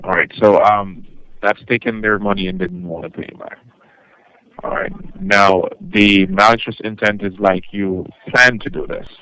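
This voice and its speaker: A man acting as a debt collection manager on the phone, explaining formally in a halting, wordy way. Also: fairly low pitch, steady tone, bright, forceful tone, clean tone, rough recording. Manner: halting, wordy, repeats himself. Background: music.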